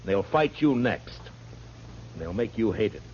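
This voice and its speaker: A low male voice on a dull, faintly noisy old radio recording. He is a stern western marshal, weary and dry, who talks in blunt, terse phrases. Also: big pitch swings, a little slow, a little mellow. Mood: stern, defiant. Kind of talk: 1950s radio western acting.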